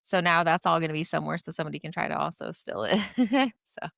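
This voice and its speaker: A woman co-hosts a laid-back podcast, her voice carrying a gravelly edge. She rambles in easy chat and breaks into frequent laughs. Close and dry on the mic, with no room sound. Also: low voice, fast, fairly bright tone, no room sound. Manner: chatty, laughs often, trails off. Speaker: podcast co-host. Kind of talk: casual chat.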